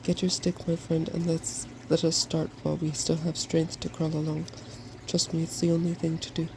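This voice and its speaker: A softspoken reader voicing a confident, feeble old dragon from a fairy tale, reasoning persuasively. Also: mid-range voice, completely flat delivery, normal speed, natural voice. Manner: self-assured, reasoning. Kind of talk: fairy tale storybook reading. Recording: clearly noisy background.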